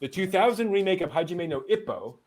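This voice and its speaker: A man's voice: high-pitched, lively intonation, brisk, crowd noise. A man hosting a casual news rundown with co-hosts, talking in a hesitant, conversational way. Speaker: host presenting anime news.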